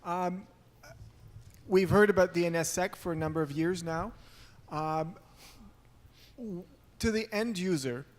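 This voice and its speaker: Higher-voiced man in an echoing hall. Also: a little slow, pauses often. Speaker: audience member asking a question. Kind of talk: unscripted conference question. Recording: reverberant hall.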